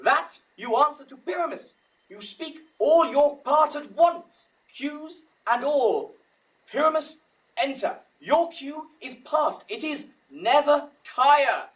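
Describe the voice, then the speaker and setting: A woman's voice: high-pitched, lively intonation, slow, recorded from a distance, rough recording. A woman playing a bossy, easily exasperated director of amateur players in a Shakespearean audio drama, theatrical and commanding.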